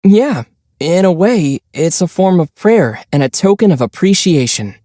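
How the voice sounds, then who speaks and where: A man voicing a calm, gentle young traveler hero in a video game, speaking thoughtfully with mild teasing. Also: high-pitched, no echo, full character voice. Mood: calm, explanatory. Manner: measured, thoughtful, mildly teasing. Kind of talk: video game character voice lines.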